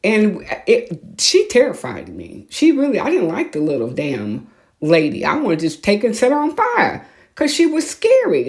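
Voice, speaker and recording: A woman with an American accent hosts a casual podcast monologue, talking in an animated, opinionated way with blunt slang. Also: a little high. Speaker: podcast host.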